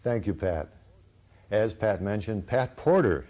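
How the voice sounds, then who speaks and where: Low, mellow-voiced male host, friendly and easygoing. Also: lively intonation, unhurried, dull audio. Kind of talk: TV show hosting and interviewing.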